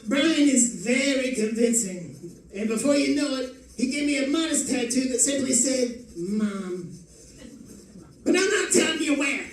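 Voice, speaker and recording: Male comic storyteller, very high voice, theatrical delivery, echoey distant stage recording. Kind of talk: comic scripted monologue read aloud. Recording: echoey live stage, distant mic, faint noise.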